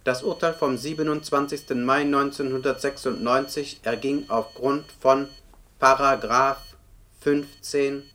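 A man playing a deadpan court computer in a radio play. The male voice reads official notices in a flat monotone at middling pitch, over audible background noise. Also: measured pace, close to an everyday voice. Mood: impersonal, official. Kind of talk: formal document reading in a radio play. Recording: clearly noisy radio play recording.

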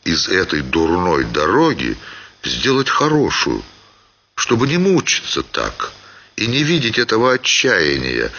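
A man reading a literary short story as expressive scripted narration, with dramatic, theatrical phrasing. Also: rumbling low, highs cut off, slight background noise.

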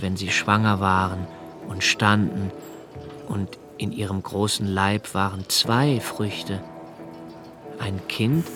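An actor playing a solitary, brooding young poet of twenty-eight who narrates his own thoughts in a literary radio drama, in a slow, measured, contemplative way. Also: on the low side. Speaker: first-person narrator of a radio play.

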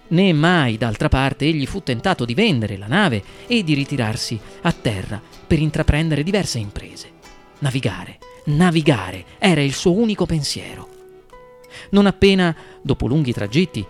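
A man reading a literary story as dramatic narration, with deliberate phrasing and varied emphasis. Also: medium pitch. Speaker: story reader. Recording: music behind the voice.

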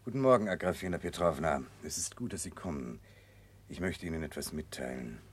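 An actor playing a troubled, guilt-ridden Russian prince in a German radio drama, brooding, earnest and formal. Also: male voice, very deep voice, animated, fairly quick, husky, full character voice. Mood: grave, resolved.

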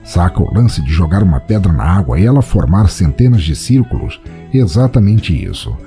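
Deep, animated Brazilian man hosting a podcast. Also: moderate pace, soft background music. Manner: theatrical, playful, informal. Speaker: podcast host. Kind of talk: scripted podcast narration and listener feedback.

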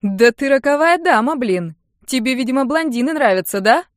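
A woman's voice: sits high, expressive, moderate pace, no room sound, voice pushed up. A woman narrator acts out a touchy young uncle in an audiobook. He is sarcastic and bossy, firing off mocking retorts in a raised, emphatic voice, recorded very dry.

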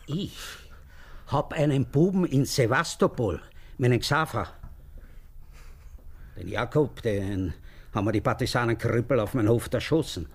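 A medium-pitched, very lively Austrian man's voice as a middle-aged farmer. He is a talkative country father in a radio play. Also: a little slow, long pauses. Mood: earnest, coaxing. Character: talkative older farmer father with sons at war. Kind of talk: radio drama acting.

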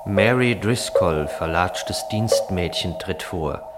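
A man acting as the detached narrator of a literary radio play, reading in a measured, deliberate way. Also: low-pitched, very noisy. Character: detached male narrator of a surreal scene. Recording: music playing behind the voice.

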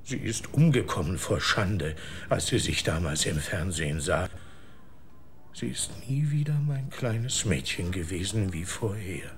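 Slow, low-voiced grieving father. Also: animated, a little hiss or hum. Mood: bitter grief. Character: grieving, embittered father searching for his little girl. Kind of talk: German radio drama acting.

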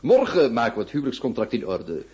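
A man's voice: mid-range voice, huge pitch swings, fast talker, a little mellow. A man playing a jovial, overbearing middle-aged marquis in a radio drama, with a habit of repeating words for emphasis. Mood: fussing, cheerful. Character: jovial, domineering marquis pushing his daughter's marriage.

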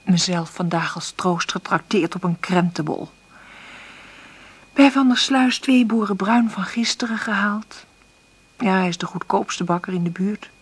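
An actress playing a nervous, polite, hard-up job seeker in a radio play, hesitant and well-spoken, with a husky voice. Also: female voice, fairly low pitch. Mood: resigned. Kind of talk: radio play dialogue and monologue.

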